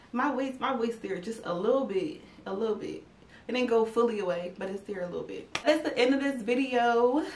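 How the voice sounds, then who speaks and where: A woman's voice: mid-range voice, slight background noise. Young woman vlogger, slightly stuffy, rambling casual chat, roomy distant mic. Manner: rambling, filler-heavy, talks straight to viewers. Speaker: YouTube vlogger.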